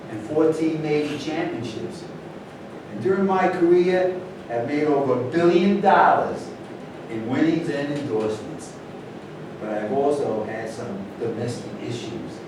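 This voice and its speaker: A proud yet troubled golf champion in a stage play, read by a man, earnest in delivery. The voice is distant and very echoey, over clear rumbling noise. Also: lightly acted. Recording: echoey distant room recording, noisy rumble.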